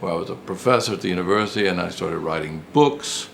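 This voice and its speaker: Older male, retired academic raconteur. Low, gravelly, casual and rambling, with noticeable background noise. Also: fast. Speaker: retired professor and writer. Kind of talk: casual interview reminiscence.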